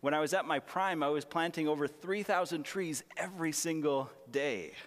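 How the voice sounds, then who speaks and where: Raspy young pastor in a big hall. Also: male voice, fairly high pitch, moderate pace. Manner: earnest, self-deprecating, conversational with humor. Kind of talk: sermon with personal stories. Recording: long reverb of a large hall.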